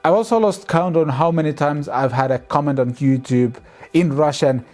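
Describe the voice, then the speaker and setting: A man talks through viewer comments in a relaxed, chatty vlog. His voice is mellow and warm, and quiet music plays underneath. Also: a little high, normal speed. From Finland. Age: 30s. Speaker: music channel host.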